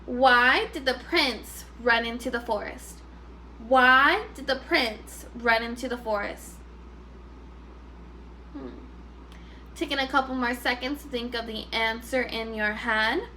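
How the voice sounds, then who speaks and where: A woman teacher reads to young children in an expressive, instructive way. The voice is fairly high and very bright, and there is faint noise in the recording. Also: takes it slowly, low-quality recording. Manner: expressive, instructive, repeats questions for pupils. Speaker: first grade teacher. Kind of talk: children's read-aloud with teaching questions.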